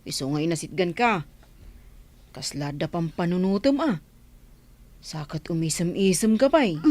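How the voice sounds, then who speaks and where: A woman's voice: on the low side, animated, a little slow, darkish tone. A woman playing a teasing, nosy young village friend in an Ilocano comedy radio drama. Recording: faint music behind the voice.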